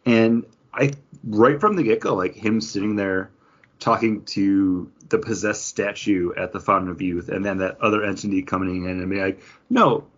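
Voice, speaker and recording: Low husky man chatting casually. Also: fairly even intonation, normal speed, dull audio. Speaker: podcast co-host reviewing comics. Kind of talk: casual chat.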